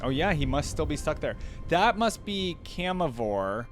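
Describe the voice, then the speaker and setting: A man giving casual, halting reaction commentary as a video host, informal and easily impressed. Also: on the high side, very animated, soft background music.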